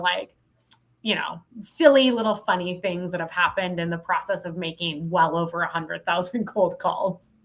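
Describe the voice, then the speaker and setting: A woman with an American accent chats casually as an interview guest, informal, animated and self-deprecating. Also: medium pitch. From Montana, United States. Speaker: podcast guest, sales professional.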